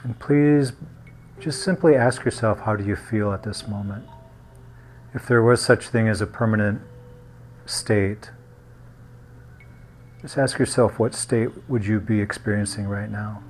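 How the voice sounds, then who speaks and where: A man guiding a meditation in a gentle, unhurried manner, leaving long pauses between short phrases. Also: on the low side, fairly even intonation, slight background noise. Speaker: meditation guide. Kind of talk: guided meditation.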